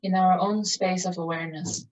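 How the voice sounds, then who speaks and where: A woman leading a guided meditation in a calm, contemplative, measured manner with long pauses. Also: lowish voice. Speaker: meditation teacher. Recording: distant mic, dull muffled sound.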